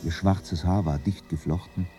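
A man narrating a literary radio drama in German in a measured, solemn, composed way. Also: sits low, steady tone, mellow, faint music behind, natural voice.

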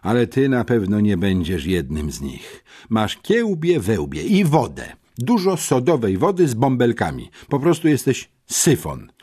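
A man's voice: deep voice, animated, moderate pace, hardly any pauses, dark tone, husky, pitched below the performer's own voice. A man voicing a stern, domineering school headmistress in an audiobook, scolding with mocking sarcasm.

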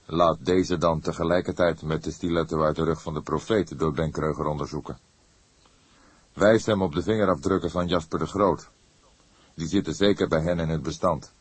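A man voicing a grey-haired veteran detective in an audiobook, deliberate and dryly authoritative. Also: sits low, fairly even intonation, breathy edge, dry recording. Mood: businesslike. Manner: deliberate, dry, instructive.

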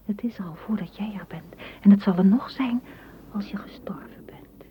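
Woman as a tender dreamy gardener, soft, poetic, radio drama, dull and faintly noisy old recording. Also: mid-range voice, normal speed, natural voice. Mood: tender, whispering. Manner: soft, often whispered, poetic.

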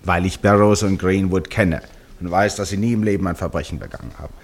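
An actor in a German radio play playing an eccentric, unworried amateur detective who talks in teasing, rhetorical, dismissive turns. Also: male voice, sits low, fast talker. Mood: exasperated, persuading. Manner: rhetorical, breezily dismissive, exasperated.

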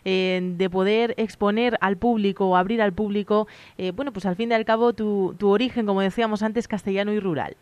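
A woman's voice: mid-range voice, fairly even intonation, fairly quick, a little mellow, no room sound. A woman hosting a radio interview, friendly and encouraging, asking questions from the studio. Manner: friendly, encouraging, frequent backchannel. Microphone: studio microphone.

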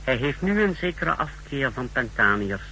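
Clinical male intelligence technician, very bright, incisive voice, precise delivery, old hissy radio drama. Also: medium pitch, very animated, normal speed. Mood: clinical, formal. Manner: formal, precise, explanatory. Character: clinical secret service technician briefing his superior. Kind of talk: 1960s radio drama acting. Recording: noisy, humming 1960s radio recording.

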